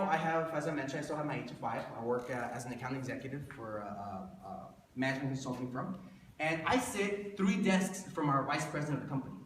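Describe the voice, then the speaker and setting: A thirty-year-old man giving a motivational presentation to an audience in a conversational way, putting rhetorical questions to listeners and repeating himself for emphasis. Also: fairly high pitch. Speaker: motivational presenter pitching a business plan. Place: indoor room before an audience. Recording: echoey room, distant mic, faint noise.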